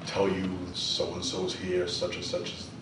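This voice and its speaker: A man's voice: deep voice, big pitch swings, a little slow, a bit bright. A man in a meeting asking questions about a device. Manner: inquisitive, questioning. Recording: echoey room from a distant mic with noise.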